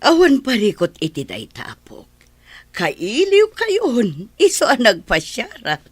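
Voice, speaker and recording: A woman's voice: a little high, wildly swooping pitch, unhurried, breathy edge, no echo, exaggerated voice. A woman acting as a doting elderly grandmother in a radio play, fond and chuckling. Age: older.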